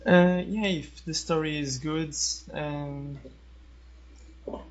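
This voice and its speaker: A man talks casually as a podcast guest, with little movement in his pitch. He is hesitant and halting as he searches for words. The recording is dull and lo-fi. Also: fairly even intonation. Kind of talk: casual discussion of opinions. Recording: dull, lo-fi voice-chat audio.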